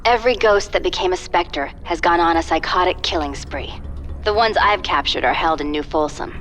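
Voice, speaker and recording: A woman's voice: lowish voice, moderate pace, a bit bright, lightly acted. A woman voicing a cool, determined psychic covert agent in a video game, controlled and military in tone. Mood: urgent warning. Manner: controlled, crisp, military briefing tone. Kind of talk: video game cutscene acting.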